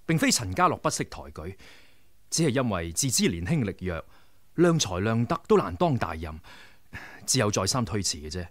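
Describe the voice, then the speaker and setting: An actor playing a young rebel leader who speaks humbly and formally, in a radio drama. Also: male voice, lowish voice, big pitch swings, moderate pace, no echo. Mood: humble. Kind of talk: 1990s radio drama acting.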